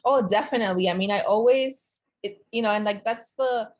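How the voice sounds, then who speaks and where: A woman's voice: medium pitch, brisk. A woman from Peru giving a casual online talk as a guest speaker. She answers audience questions in a searching, conversational way, with many fillers and self-corrections, over a computer mic on a video call.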